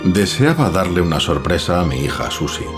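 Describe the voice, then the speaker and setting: Casting: farmer dad, extremely low voice, measured first-person horror storytelling over prominent music. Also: male voice, sing-song. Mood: wistful, tender. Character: farmer father, puzzled, fond of his daughter. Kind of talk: dramatic first-person narration in horror audio drama. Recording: music behind the voice.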